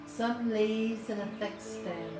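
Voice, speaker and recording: A woman reading a guided meditation in a measured way, pausing between short phrases. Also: mid-range voice. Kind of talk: slow guided meditation narration. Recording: roomy echo, distant voice, loud music. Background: music.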